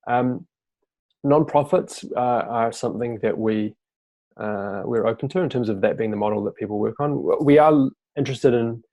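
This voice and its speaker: Nz guy running a webinar q&a, lowish gravelly voice, reads questions then just chats through answers. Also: no room sound. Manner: relaxed, conversational, reads out questions then answers them off the cuff. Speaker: fellowship team member hosting a webinar Q&A.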